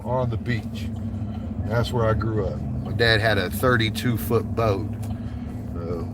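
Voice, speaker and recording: A man's voice: medium pitch, noisy background. A man from South Florida chatting casually with viewers on a live stream, laid-back and saying "bro" a lot. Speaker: livestream host.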